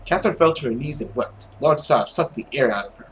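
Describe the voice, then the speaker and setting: Animated man narrating a visual novel. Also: medium pitch, brisk, a little hiss or hum. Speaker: let's player and narrator. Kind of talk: dramatic reading of a visual novel with commentary.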